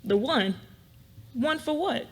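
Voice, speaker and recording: A woman's voice: high voice, lively intonation, slow pace, breathy edge, full character voice. A woman playing a wary, curious, outspoken young college student in a dramatic reading of a novel. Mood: questioning. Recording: noisy live event recording.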